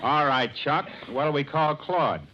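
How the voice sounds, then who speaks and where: A man's voice: a little high, slow, a crowd in the background. An actor playing a genial, slightly vain straight man with playful delivery in vintage radio comedy. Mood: amused. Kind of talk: 1930s-40s radio comedy acting. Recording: muffled, noisy old radio recording.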